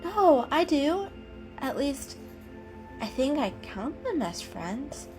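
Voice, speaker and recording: Woman as a gentle, anxious storybook cat. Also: high-pitched, very animated, slow, pitched above the performer's own voice, heavily acted, rough recording. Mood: reflective. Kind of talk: dramatic storybook reading. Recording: music behind the reading.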